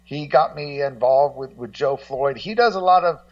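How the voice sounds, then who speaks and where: A man chatting casually in an interview, rambling and blunt, often halting and repeating words. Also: medium pitch, dry recording. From Los Angeles, United States. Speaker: guest co-host, documentary maker. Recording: dull, muffled, slightly distant sound.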